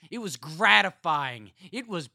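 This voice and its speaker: A man voicing a young jailed killer who talks to his family with bitter contempt and builds to heated shouting. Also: lowish voice, expressive, normal speed, heavy background noise, voice pushed up, close to an everyday voice. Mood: gloating.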